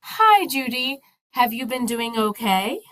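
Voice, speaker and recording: A woman acts a bubbly, sociable wife in an audio play. She gushes perkily, with a very lively rise and fall in pitch. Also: slow, heavily acted. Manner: gushing, perky. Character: May, bubbly sociable married lady club member.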